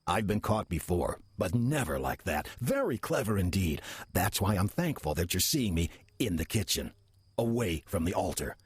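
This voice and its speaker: An actor playing a sly, smooth-talking demon who poses as a kindly old church deacon, speaking with measured, insinuating courtesy in a horror audio drama. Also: male voice, sits low, sing-song, no room sound, full character voice. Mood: sly politeness. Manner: measured, insinuating, mock-polite.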